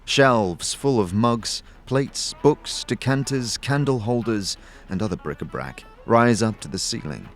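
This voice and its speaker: A man's voice: on the low side, vocal fry. A man reading dramatic, second-person scripted narration for an audio story.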